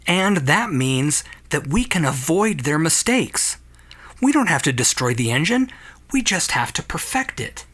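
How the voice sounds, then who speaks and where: A man's voice: a little high, big pitch swings, a little slow, a bit bright, voice pushed up, exaggerated voice. A man playing an obsessive, defiant scientist in an audio drama, speaking with agitated insistence. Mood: urgent persuasion. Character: obsessive, defiant male scientist, feverish and stubborn.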